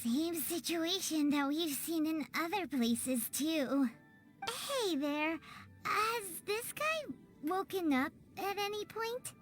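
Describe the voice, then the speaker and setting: A tiny, helpful fairy sidekick from a video game. The voice is extremely high and childlike, with a perky, curious manner. It takes its time over words, with light music underneath. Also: female voice, lively intonation, slow, exaggerated voice. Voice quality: squeaky, childlike. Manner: perky, inquisitive. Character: small childlike female fairy companion, eager, chirpy, helpful. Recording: faint music behind.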